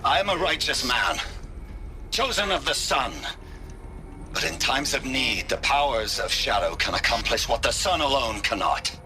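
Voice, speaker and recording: A man's voice: mid-range voice, lively intonation, normal speed, background noise, close to an everyday voice. An actor playing a mad, pompous sun-king who speaks in grandiose, archaic phrases and rises to cries. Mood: fervent.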